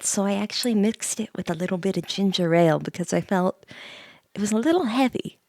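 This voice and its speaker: A woman chatting softly on an ASMR livestream, hushed and often slipping into whispers, halting and repeating her phrases, close to the microphone. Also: on the low side, dry recording. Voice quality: soft, breathy whisper mixed with hushed talk. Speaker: livestream host, VTuber. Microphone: close studio microphone, mouth sounds audible.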